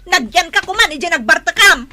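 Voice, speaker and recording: A woman's voice: animated, normal speed, full character voice. A woman playing a nagging, sarcastic wife fed up with her drunkard husband, in Ilocano-accented radio drama style. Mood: scolding. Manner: shrill, sharp-tongued, sarcastic.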